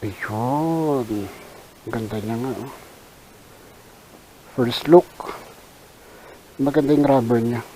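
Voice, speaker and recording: A Filipino man giving casual unboxing commentary as a vlogger, halting and thinking aloud in an unscripted way. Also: medium pitch, dark tone, low-quality recording. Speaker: vlogger reviewing a product. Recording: hissy background noise.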